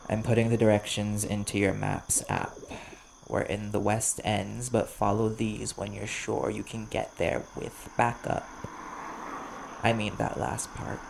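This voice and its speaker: Guy doing a wary desperate vampire for audio rp, super gravelly, blunt, crickets and noise behind him. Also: lowish voice, little pitch movement, unhurried, poor audio. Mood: wary, resolute. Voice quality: gravelly, creaky. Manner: guarded, blunt, earnest. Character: wary, desperate male vampire bounty hunter, sharp-tongued. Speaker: audio roleplay voice actor. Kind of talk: ASMR audio roleplay acting. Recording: clear background noise, chirping crickets.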